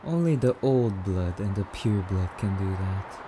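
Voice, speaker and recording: A man's voice: deep voice, animated, unhurried, warm tone, faint music behind. A man playing a courtly, wistful male vampire in an ASMR roleplay, speaking in a musing, slightly archaic, haughty yet polite manner. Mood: coaxing, impatient. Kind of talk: ASMR roleplay monologue addressed to listener.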